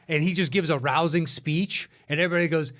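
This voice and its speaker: A man's voice: fairly high pitch, brisk, fairly bright tone. A man chatting casually as a co-host, with a sardonic, sweary way of talking. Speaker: co-host of a movie list show. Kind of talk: casual chat.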